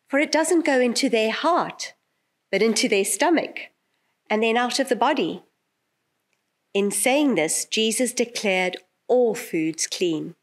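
A middle-aged woman giving an expressive, deliberate Bible reading with emphatic phrasing. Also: fairly high pitch. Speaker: pastoral carer, Bible reader. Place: indoor chapel at a lectern. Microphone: gooseneck lectern microphone.

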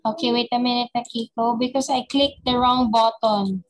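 Young Filipino tutor, high voice, patient and encouraging, headset on a video call. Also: female voice, steady tone. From Philippines. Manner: patient, slow and encouraging, repeats the child's answers. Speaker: online English tutor. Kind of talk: online lesson with a child.

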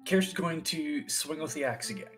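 A man talking casually and haltingly as a player in a tabletop roleplaying game. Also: a little high, lively intonation, a bit bright, soft background music.

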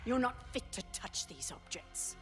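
An actress playing a cold, haughty, contemptuous villainess with a sneering manner in a video game cutscene. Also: female voice, on the high side, sing-song, measured pace, over music. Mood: scornful.

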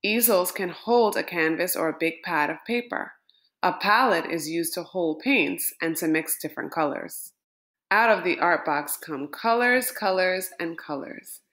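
A woman reading a children's picture book aloud, lifting her voice on each item in a list. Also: lowish voice. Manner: slow, lilting and expressive, lifting words in lists. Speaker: storybook reader.

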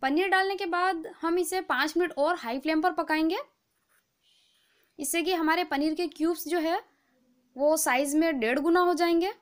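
A woman hosting a cooking channel, giving a calm, patient, step-by-step recipe voice-over. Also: very high-pitched, moderate pace. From India.

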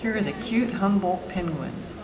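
A woman narrating a travel vlog in a calm, admiring scripted voice-over. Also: on the low side, moderate pace, heavy background noise. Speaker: travel vlogger. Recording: roomy voice-over under loud music.

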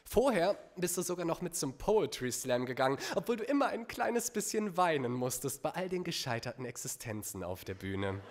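A man's voice: on the high side, sing-song, moderate pace, few pauses. A young man performing comedic spoken word on stage at a microphone, with a theatrical delivery and sardonic asides. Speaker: poetry slam performer. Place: theatre stage before a live audience. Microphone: microphone on a stand.

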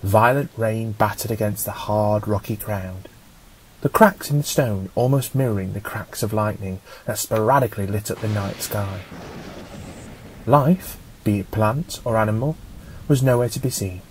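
A man reading a scripted story as an audiobook narrator, in a measured, dramatic storytelling manner. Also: lowish voice, slight background noise, poor audio.

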